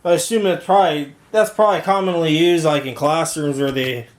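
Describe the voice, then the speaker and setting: A man's voice: on the high side, fairly quick. A man talking informally in a hands-on product demo vlog, rambling a little and correcting himself as he goes. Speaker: video maker reviewing audio gear.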